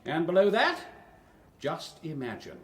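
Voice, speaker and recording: An actor playing a smooth, officious tour guide in a radio drama, with a formal, flowery way of announcing. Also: male voice, on the high side, very animated, unhurried, heavily acted. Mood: grand, declaiming. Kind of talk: British radio drama acting.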